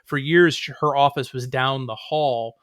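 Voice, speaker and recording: A man's voice: mid-range voice, fairly even intonation, measured pace, a bit bright, dry recording, clean studio sound. A man, a writer being interviewed, talking casually in an explanatory, digressive, anecdotal way.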